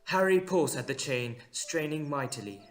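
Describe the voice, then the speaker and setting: A man's voice: fairly high pitch, expressive, normal speed, loud music behind the voice. A man giving dramatic scripted narration for an audio drama.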